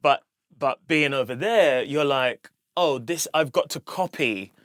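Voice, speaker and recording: A man's voice: mid-range voice, unhurried, fairly bright tone, no room sound, clean studio sound. A man with a London accent, hosting as a DJ in a casual interview, encouraging and chatty. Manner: encouraging, many affirming murmurs. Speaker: DJ and podcast host.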